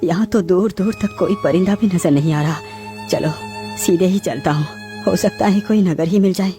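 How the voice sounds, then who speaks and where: A woman's voice: on the low side, moderate pace, natural voice. A woman voicing a noble, earnest male king with a formal, polite way of speaking. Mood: earnest, worried. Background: clear background music.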